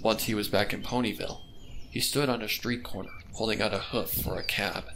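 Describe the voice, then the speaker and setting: A man's voice: lowish voice, steady tone, brisk. A man reading fan fiction aloud as a scripted narrator. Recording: heavy background noise with birdsong.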